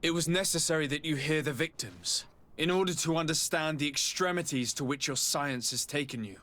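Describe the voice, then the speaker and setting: An earnest noble envoy from a video game. His voice sits a little high for a man. He speaks formally and seriously, in measured sentences, like someone of firm principle. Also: sharp tone. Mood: grave, righteous. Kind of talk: dramatic video game acting.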